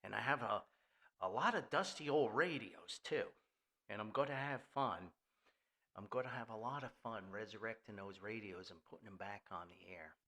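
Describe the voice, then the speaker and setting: A man's voice: fairly low pitch, sharp tone. An older man hosting a casual, rambling podcast in a folksy, jokey manner. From Paterson, New Jersey, US. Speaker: podcast host.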